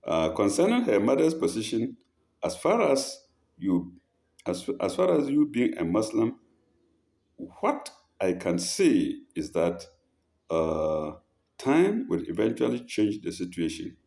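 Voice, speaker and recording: A man's voice: lowish voice, very animated, takes it slowly, creaky, mellow. A man who hosts an advice show, reading listener letters aloud and commenting on them, often stopping to reread a phrase or correct himself.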